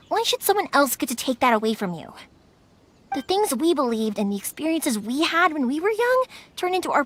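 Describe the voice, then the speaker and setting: A woman playing a cheerful, kind young fireworks maker in a video game, chatty and informal. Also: high-pitched. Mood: earnest. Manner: chatty, animated, informal, playful. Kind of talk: video game voice acting, English dub.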